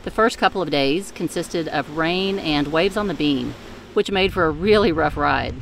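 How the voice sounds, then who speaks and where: A woman giving calm, measured scripted voice-over narration for a family sailing vlog. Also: sits low, vocal fry, a little hiss or hum. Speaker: vlogger narrating a sailing trip.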